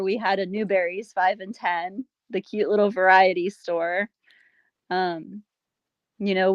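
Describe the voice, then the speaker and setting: Middle-aged woman, emotional, halting, sighs, mid pitch, dry sound. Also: no echo, highs cut off. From Pennsylvania, USA. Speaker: guest, local resident. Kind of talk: casual conversation.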